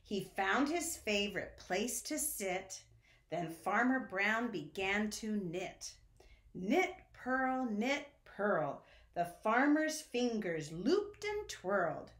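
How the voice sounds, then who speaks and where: A woman reading a picture book aloud to young children, expressive and sing-song, talking to them directly. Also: mid-range voice, takes it slowly. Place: indoor room at home. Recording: echoey room.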